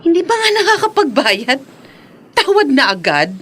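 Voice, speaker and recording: A woman's voice: sits high, sing-song, moderate pace, a bit bright. An actress playing a vengeful, wealthy widow and scheming businesswoman in a radio drama, theatrical and taunting, mixing English into her talk. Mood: bitter, vengeful. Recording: clear background noise.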